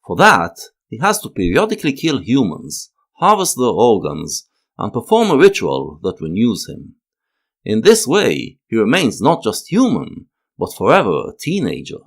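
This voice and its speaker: A man narrating a scripted analytical video essay in a measured, lecturing manner, leaning on key words. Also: on the low side, wildly swooping pitch.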